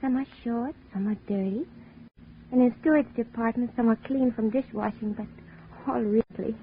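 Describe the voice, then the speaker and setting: An actress playing a demure, curious young Malayan shipping clerk, speaking with polite, careful formality in 1950s radio drama style. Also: female voice, on the high side, normal speed, phone-quality sound, close to an everyday voice. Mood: courteous. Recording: thin, noisy old radio recording.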